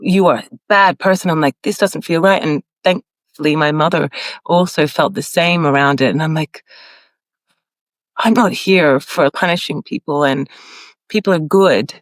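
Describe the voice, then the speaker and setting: An earnest woman guest, born in Ireland and raised in Australia, speaks in a mid-pitched voice. She gropes for her words, restarts often and laughs easily. The recording is dry, with no echo. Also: moderate pace. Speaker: podcast guest. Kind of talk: conversational interview.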